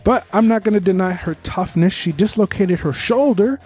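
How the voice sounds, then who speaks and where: A man's voice: high voice, normal speed, darkish tone, husky, faint music behind. A man co-hosting a podcast, giving opinionated, informal review chat with bits of trivia. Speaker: podcast co-host.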